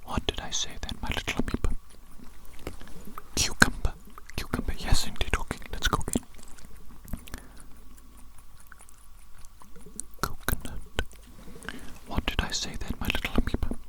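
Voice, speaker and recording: A performer playing a politely sinister, condescending doctor in an ASMR roleplay, whispering breathily in a deliberate, repetitive, formal way. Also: lightly acted. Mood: quizzing, patronising. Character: evil doctor giving a hearing test, politely menacing, condescending.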